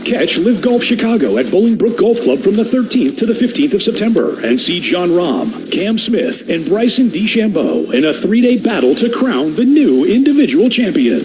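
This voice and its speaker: A man with a high, very animated voice delivers a dramatic scripted sports promo. Music plays clearly underneath. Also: normal speed, few pauses. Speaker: commercial announcer.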